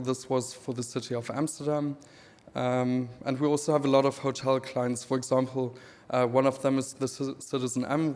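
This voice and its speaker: A man in his thirties from Namibia with a German accent, giving a relaxed conference talk with dry humor and many pauses. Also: medium pitch. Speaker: speaker presenting his creative work. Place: event hall. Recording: reverberant hall.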